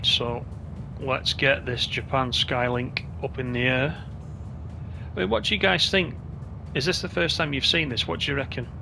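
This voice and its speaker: A man's voice: mid-range voice, steady tone, normal speed, vocal fry, over music. A man giving casual gameplay commentary as a video host, chatty and thinking aloud.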